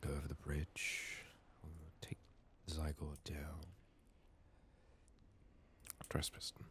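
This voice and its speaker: A soft-spoken man giving unhurried ASMR game commentary, musing to himself and sometimes slipping into a whisper. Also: rumbling low, monotone, a little hiss or hum. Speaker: gaming streamer, ASMR host.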